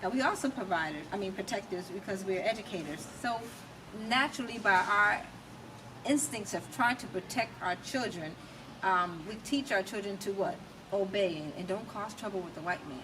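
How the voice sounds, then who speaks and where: A woman's voice: mid-range voice, normal speed. A middle-aged American woman answering as an interview guest, explaining her views conversationally and emphatically, checking that she is understood. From United States. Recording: distant mic, loud ambient noise.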